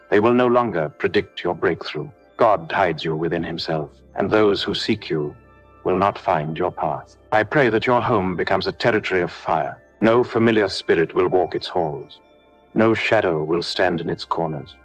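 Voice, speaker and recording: Male prayer leader, deep register, solemn and declarative, measured phrasing for scripted spiritual warfare prayer, music underneath.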